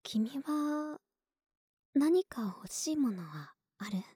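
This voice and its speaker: A woman playing an affectionate, slightly clumsy young neighbor with a big-sister air in an ASMR voice drama, speaking softly and intimately, often in a whisper. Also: high-pitched, very animated, slow pace, no room sound, studio quality, exaggerated voice. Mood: tender, confiding. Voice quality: breathy. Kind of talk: Japanese ASMR situation voice drama.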